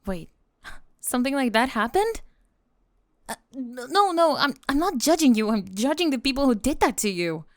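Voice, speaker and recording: A woman playing a doting, possessive lamia college roommate in an ASMR roleplay, speaking softly and coaxingly, close to the microphone. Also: high-pitched, very animated, no room sound. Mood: indignant, protective. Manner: coaxing, doting, breathy pauses with sighs and soft giggles. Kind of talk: ASMR roleplay acting, spoken to the listener. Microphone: close microphone in a dry space.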